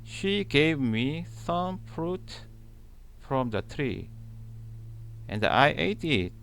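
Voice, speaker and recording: A man reading scripture aloud in a deliberate manner, pausing between short, halting phrases. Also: fairly high pitch, very animated, a bit bright. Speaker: channel host reading the Bible aloud. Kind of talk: slow scripture read-aloud.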